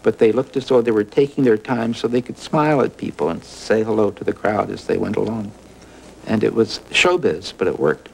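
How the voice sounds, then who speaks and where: A man with a lowish, gravelly voice recounts history in a documentary interview. He talks conversationally, with plenty of creak in the voice. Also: fairly quick, a little mellow, low-quality recording.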